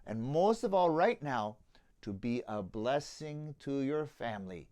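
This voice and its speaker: A man, a pastor, reading a children's storybook aloud in an expressive, theatrical way, with a rhythmic delivery of verse. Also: mid-range voice, a little slow, no echo.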